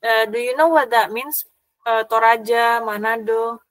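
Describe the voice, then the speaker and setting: A young woman with an Indonesian accent tutoring an online language lesson over a video call, gentle and a little hesitant. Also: on the high side, steady tone, unhurried, no room sound. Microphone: laptop video call.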